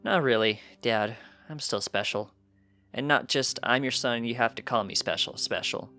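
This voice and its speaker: A man's voice: mid-range voice, normal speed, sharp tone, faint music behind. A man voicing a cheerful, earnest young colt in a children's-fantasy style story reading. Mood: eager. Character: cheerful young colt, pony boy and son.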